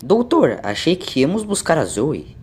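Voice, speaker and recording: A man plays an impatient young male adventurer from a science fiction audio story. He sounds questioning and protesting, with a raised pitch, over a clearly noisy background. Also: huge pitch swings, moderate pace, darkish tone.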